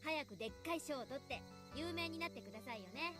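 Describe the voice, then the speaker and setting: A woman's voice: high-pitched, very fast. A woman voicing a brash, sassy teenage schoolgirl who teases people in an anime visual novel. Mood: teasing. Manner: sassy, teasing, animated. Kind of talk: Japanese anime game voice acting. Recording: loud game music underneath.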